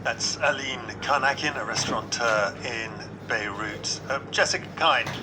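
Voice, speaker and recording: A man presenting radio news, asking probing, measured questions in an interview. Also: mid-range voice, background noise.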